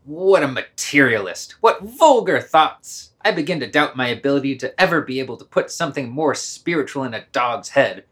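A pompous, hypocritical scientist master, acted by a man in an audio play. He scolds with theatrical indignation, his pitch swinging up and down. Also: lively intonation, normal speed, hardly any pauses, fairly bright tone, lightly acted. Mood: pompous, exasperated. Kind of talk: amateur radio play reading.

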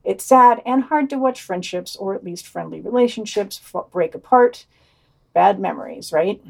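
A woman's voice: medium pitch, normal speed, gravelly. A woman co-hosting a podcast, reading news items aloud and adding wry, dry asides. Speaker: podcast co-host.

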